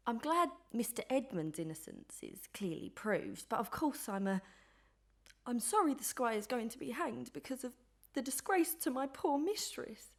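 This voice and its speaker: A woman's voice: fairly high pitch, lively intonation, normal speed. A woman playing a chatty, plain-spoken, loyal lady's maid in a Victorian melodrama audio play. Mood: gossipy, opinionated.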